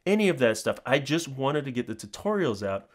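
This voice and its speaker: A man's voice: mid-range voice, big pitch swings, fairly quick. A middle-aged man, chatting informally and in a rambling way to his viewers as a channel host. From New Zealand. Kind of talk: casual talk to viewers.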